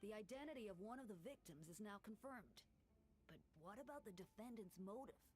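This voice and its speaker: An actress playing a composed female court official in a video game, speaking formally and deliberately. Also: mid-range voice, expressive, a little mellow, close to an everyday voice, rough recording. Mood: formal, inquiring. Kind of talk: dramatic game voice acting.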